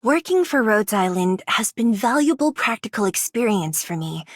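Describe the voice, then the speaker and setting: Principled, expressive young operator. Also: female voice, mid-range voice, moderate pace, no echo, studio quality. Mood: thoughtful. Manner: formal, thoughtful. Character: earnest, principled young female game operator, measured and thoughtful. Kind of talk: English video game character voice lines.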